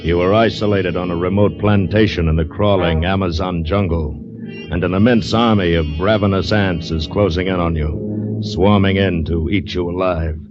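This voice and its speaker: A muffled vintage radio recording with clear music behind. A deep-voiced man narrates ominously, in dramatic 1940s trailer style. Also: fairly even intonation, moderate pace. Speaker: radio announcer.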